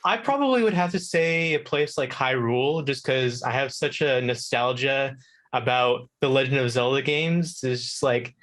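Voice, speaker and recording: A man's voice: mid-range voice, moderate pace, a bit bright. A man chatting casually as a guest on a talk show, easygoing and agreeable. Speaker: guest, video game contributor. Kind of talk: casual conversation.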